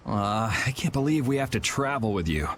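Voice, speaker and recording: An actor playing a cool, wry young guild vigilante in a video game, speaking in a dry, casual, sardonic way. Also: male voice, mid-range voice, lively intonation, a little fast, slight background noise, lightly acted. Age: young adult.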